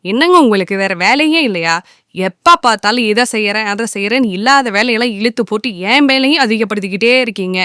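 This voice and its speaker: A woman voicing an exasperated, nagging, sarcastic wife in an animated moral story. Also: on the high side, fast talker, clear, resonant tone, no room sound, clean studio sound. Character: exasperated, nagging wife scorning her husband's plans.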